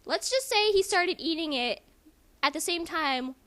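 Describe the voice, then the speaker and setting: High-voiced woman reading aloud casually. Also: animated, measured pace, fairly bright tone. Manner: squeamish, self-interrupting, grossed out. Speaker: amateur reader in a comedic dramatic reading.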